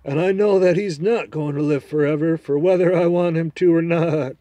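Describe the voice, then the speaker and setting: A man voicing a proud, scornful male talking horse in a storybook reading, loud and lecturing. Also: on the high side, fairly even intonation, moderate pace, no room sound, voice pushed up, natural voice. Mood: confiding. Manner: haughty, lecturing, loud and emphatic.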